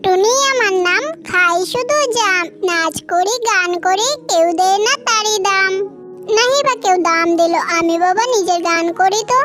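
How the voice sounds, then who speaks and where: A little trickster bird from a children's cartoon, pitched extremely high like a small child. The delivery is cheeky, sing-song and fond of rhyme. Prominent music runs behind the voice. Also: female voice, moderate pace, fairly bright tone. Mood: playful singing. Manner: sing-song, teasing, rhyming. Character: small, cheeky, song-loving tailorbird girl, cocky trickster.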